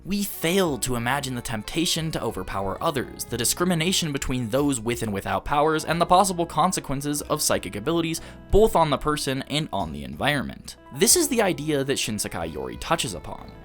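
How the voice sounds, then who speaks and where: A man's voice: medium pitch, sing-song, brisk, few pauses, a bit bright, soft background music. A man delivering scripted video essay narration as the host of an anime review.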